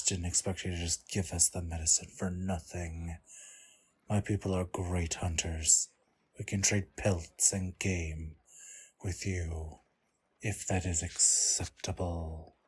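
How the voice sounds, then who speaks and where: A man playing a proud, wary wolf-folk leader in an audio roleplay, speaking formally in a husky, raspy voice. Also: sits low, slight background noise.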